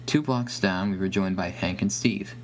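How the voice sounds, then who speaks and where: A man's voice: low voice, moderate pace, a little hiss or hum. A man reading a scripted first-person horror story as its narrator.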